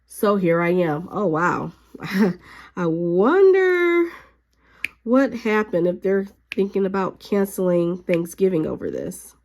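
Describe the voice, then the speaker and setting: A woman hobby vlogger who is a little sick and a bit raspy, chatting casually with lots of fillers while she works at her craft desk at home. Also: fairly low pitch, slow. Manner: chatty, relaxed, frequent fillers.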